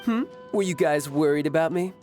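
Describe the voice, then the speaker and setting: A man's voice: on the high side, a little fast, loud music behind the voice, close to an everyday voice. A man voicing a boastful young male fighter in a game who loves combat, talking in a breezy, teasing way. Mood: cocky. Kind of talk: video game cutscene dialogue.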